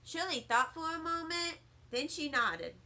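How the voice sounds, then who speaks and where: Bright, high-pitched woman reading a children's story. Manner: expressive, deliberate storytelling delivery. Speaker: teacher reading a children's book aloud. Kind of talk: animated read-aloud storytelling in a child's first-person narration.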